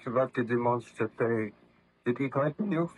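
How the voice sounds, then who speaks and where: An actor playing a concerned, gentle friend with a sober, sympathetic manner in 1930s radio drama style. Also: male voice, medium pitch, moderate pace, a little hiss or hum, close to an everyday voice. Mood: worried, sympathetic. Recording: dull lo-fi old radio recording.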